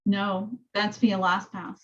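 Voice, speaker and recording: A woman with a soft voice, casually walking her real estate team through training tools on a call. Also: medium pitch, little pitch movement, slow pace, muffled recording. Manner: chatty, rambling explanations. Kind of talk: casual team meeting walkthrough.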